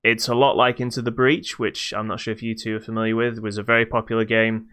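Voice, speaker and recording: A man with a bright, clean-sounding voice talks through video games as a podcast co-host. His delivery is casual and unscripted, with a dry wit, and every word comes across crisply. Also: on the low side, little pitch movement, fast. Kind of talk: casual unscripted game review chat.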